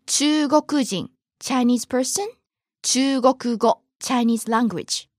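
A woman from Japan, teaching on a bilingual podcast language lesson and reading example phrases in a lively way. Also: fairly high pitch, slow, no echo, studio quality. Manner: lively, gives example phrases and chuckles along.

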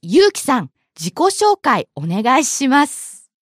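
Lively woman teacher. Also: sits high, moderate pace, husky, no echo, studio quality. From Japan. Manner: lively, gives example phrases and chuckles along. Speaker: Japanese teacher. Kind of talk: bilingual podcast language lesson.

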